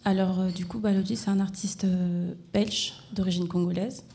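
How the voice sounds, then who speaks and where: Creaky, low-key woman artist. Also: little pitch movement, moderate pace, mellow. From France. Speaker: artist, interview guest. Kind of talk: reflective conversational interview answers.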